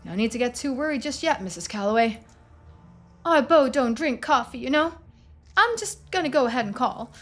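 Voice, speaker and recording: A woman's voice: high voice, expressive, normal speed, faint music behind, pitched above the performer's own voice. A woman voicing a kind, polite small-town woman with a folksy, reassuring manner in a story game. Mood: anxious, soothing.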